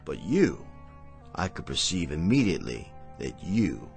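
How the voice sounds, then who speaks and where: A man's voice: rumbling low, huge pitch swings, gravelly, soft background music, full character voice. An actor playing a courteous, insinuating miracle worker and con man in a radio drama. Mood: insinuating, mocking. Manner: courteous, insinuating, drawn-out mock politeness. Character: smooth-talking, sly male miracle worker and con man.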